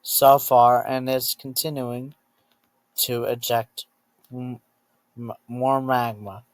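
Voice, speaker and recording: A man narrating a scripted emergency alert as an announcer, halting between short phrases and often repeating himself to rephrase. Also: mid-range voice, flat delivery, dry recording, poor audio.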